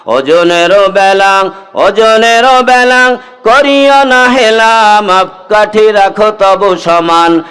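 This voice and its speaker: A man's voice: high voice, very slow, fairly bright tone, clean tone. A man with a Bangladeshi accent preaching an Islamic sermon, exhorting his listeners and moving between speech and melodic chanting. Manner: exhortative, calls on listeners to respond. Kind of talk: waz sermon to a gathering, with melodic chanting of verses and rhymes.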